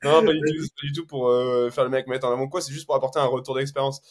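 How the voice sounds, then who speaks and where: A man who is a guest SEO consultant, talking in a relaxed, hesitant way with many fillers. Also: medium pitch, fast talker. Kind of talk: casual podcast chat.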